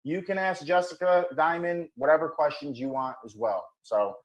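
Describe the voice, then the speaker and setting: A man's voice: a little high, normal speed, lo-fi sound. A man hosting a live online dating show over video call, with hyped, bro-ish banter.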